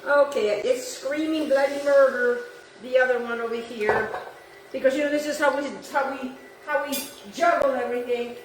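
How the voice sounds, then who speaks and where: A woman's voice: high-pitched, steady tone, moderate pace, recorded from a distance, slight background noise. A woman from Italy whose first language is Italian, hosting a casual home cooking demonstration and chatting as she works, repeating herself and talking to the viewer. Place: home kitchen. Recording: echoey kitchen, voice off-mic.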